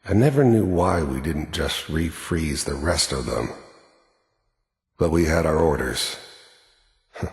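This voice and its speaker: Very deep, gruff, cold American mercenary. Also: male voice, exaggerated voice. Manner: terse, matter-of-fact, sardonic. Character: hardened cynical male mercenary, cold, menacing, world-weary. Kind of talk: video game character monologue and dialogue. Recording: echoey hall-like reverb.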